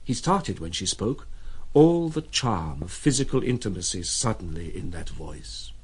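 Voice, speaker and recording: An actor as a reflective narrator of a literary audio drama, measured and expressive. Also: male voice, sits low, slight background noise. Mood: wistful.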